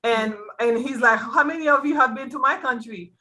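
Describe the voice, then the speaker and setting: A woman hosts a casual conversation, asking guests about their experiences in a loose, chatty way. Her voice is bright and clear in tone. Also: sits high, normal speed. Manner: relaxed, conversational, many fillers. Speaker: host. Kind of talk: casual talk show chat.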